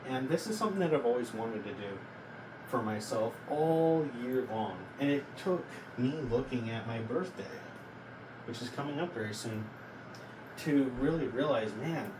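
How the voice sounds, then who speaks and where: A man's voice: mid-range voice, lively intonation. Echoey, distant young man rambling to camera. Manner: rambling, halting, self-questioning. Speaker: vlogger. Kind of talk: casual self-reflective vlog talk. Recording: echoey, noisy, distant from mic.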